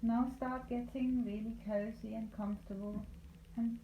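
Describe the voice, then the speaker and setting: A woman leading a guided meditation as a yoga nidra instructor, speaking calmly and soothingly with deliberate pauses. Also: on the high side. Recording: roomy echo, light background noise.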